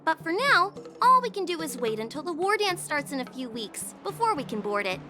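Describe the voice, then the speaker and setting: A woman voicing a brisk, practical traveling merchant in a game. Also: high voice, big pitch swings. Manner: brisk and matter-of-fact. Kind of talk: game voice acting. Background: game soundtrack music.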